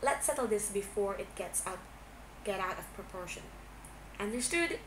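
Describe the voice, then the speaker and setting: A suspicious young businessman, played by a woman reader fires curt, angry demands in a dramatised story. The delivery is cold and gruff. The amateur recording has clear noise behind it. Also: medium pitch, lively intonation, moderate pace, reverberant, close to an everyday voice. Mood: cold, demanding. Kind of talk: dramatised fan fiction reading. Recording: noisy amateur home recording.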